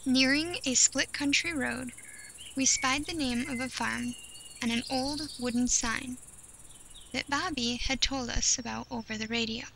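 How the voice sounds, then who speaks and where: A woman narrates a first-person horror tale in a fairly high voice. Her delivery is measured and deliberate, broken by storyteller's pauses. Clear outdoor ambience of insects and birds runs behind her words. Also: steady tone, bright, forceful tone, rough recording. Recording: clear background noise of nature ambience.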